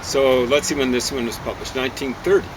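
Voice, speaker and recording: An older man chatting about his opinions in a halting, informal way. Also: medium pitch, fairly even intonation. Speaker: book reviewer. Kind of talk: casual opinionated chat. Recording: loud traffic and outdoor noise.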